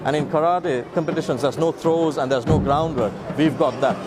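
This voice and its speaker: A man in his thirties, a martial arts instructor, talking quickly in an event interview and repeating words as he starts. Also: fairly high pitch. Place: large sports hall, indoors. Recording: loud music under the voice.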